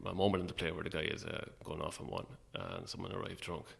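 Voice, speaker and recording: A man's voice: very deep voice, very animated, fast talker, vocal fry, breathy edge. An Irish man chatting casually as an interview guest, a little hesitant and self-effacing. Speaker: guest, playwright.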